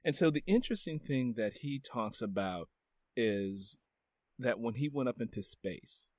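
A man's voice: mid-range voice, animated, unhurried, dry recording. A man hosting an interview podcast, speaking earnestly and repeating phrases for emphasis. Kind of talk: casual interview conversation.

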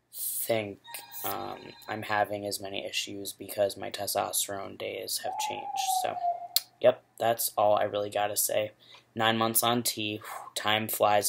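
A young man with a low, rumbly, gravelly voice chats into his laptop webcam in a small bedroom. His pitch stays flat and even, and he talks in a relaxed, rambling, candid way. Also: slight background noise. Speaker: vlogger. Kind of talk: casual video diary.

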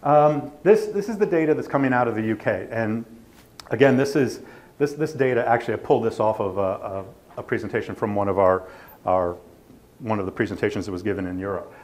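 A man with a fairly low voice gives an informal technical talk. He speaks haltingly, feeling his way through the points in a self-deprecating manner. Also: darkish tone. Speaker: company presenter. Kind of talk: informal technical slide presentation.

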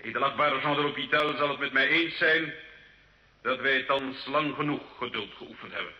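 An actor playing a stern, dignified bishop who presides as an inquisition judge in a radio play, formal and admonishing. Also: male voice, medium pitch, moderate pace, lightly acted. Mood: grave, formal. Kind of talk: old Dutch-language radio play acting. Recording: dull, echoey old radio recording.

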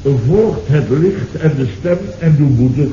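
A man's voice: on the high side, a little slow, natural voice. Man acting a flustered Dutch comic character, indignant, blustering, exclamatory, 1970s radio comedy, roomy sound, background noise. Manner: blustering, stammering, exclamatory storytelling. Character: flustered, indignant man recounting his own disastrous errand. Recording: noisy, dull old radio recording, roomy.

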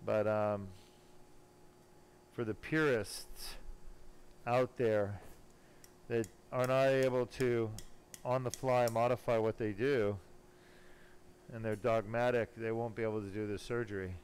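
A man working as a spine surgeon, giving casual, self-assured live commentary while he operates, pausing now and then mid-task. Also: lowish voice, fairly even intonation, a little mellow. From born in Germany, later lived in California. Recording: clearly noisy operating room sound. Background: room noise and ambience.

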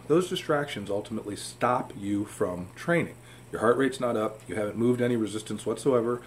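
A man giving informal, emphatic fitness advice to camera in a home room. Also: fairly low pitch. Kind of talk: informal instructional talk to camera. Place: indoor home room with fish tanks. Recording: clear room hum and background noise.

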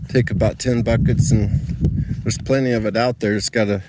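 A man's voice: lowish voice, steady tone, moderate pace, background noise. A man giving a casual tour of his dry desert garden, rambling and thinking aloud while filming on his phone outdoors. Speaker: home gardener.

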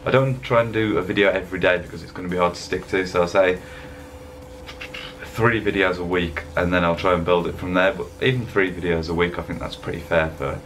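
Laid-back young man, very low voice. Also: steady tone, a little fast, fairly bright tone, slight background noise, poor audio. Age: young adult. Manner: rambling, laid-back, chatty with fillers. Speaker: fitness vlogger. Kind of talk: casual voice-over vlog. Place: indoor room, voice-over over gym footage. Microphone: webcam at desk.

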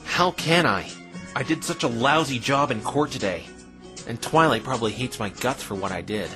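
A man playing an earnest, flustered young defense lawyer in an animated fan dub, hesitant and exasperated. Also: medium pitch, moderate pace, loud music behind the voice, natural voice. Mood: dejected. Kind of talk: fan dub visual novel acting.

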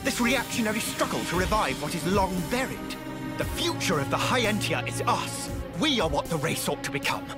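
The self-crowned usurper ranting before the boss fight in a fantasy game, very high-voiced and grandiose, music blaring behind him. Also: male voice, sing-song, brisk. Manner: pompous, grandiose. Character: arrogant young fanatical male villain of noble race. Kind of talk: theatrical video game voice acting.

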